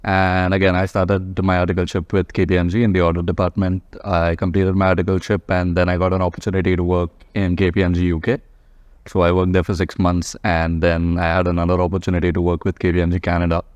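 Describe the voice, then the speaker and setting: A man's voice: sits low, completely flat delivery, quick pace, darkish tone, no echo, highs cut off. A man from Mumbai with an Indian accent, speaking casually as an accountant guest on a panel interview. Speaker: guest, practicing chartered accountant.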